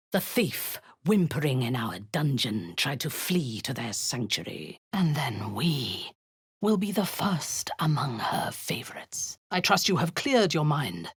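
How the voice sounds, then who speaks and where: A woman's voice: a bass voice, husky, no echo, studio quality, heavily acted. A woman voicing a cruel, zealous drow warlord in a video game, giving cold commands that often sink into a menacing whisper.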